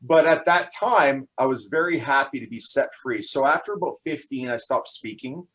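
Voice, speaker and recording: A man's voice: mid-range voice, moderate pace, fairly bright tone, poor audio. A man in his forties, from Canada, answering interview questions in a casual, hesitant way with many fillers and restarts, often laughing. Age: 40s. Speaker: guest.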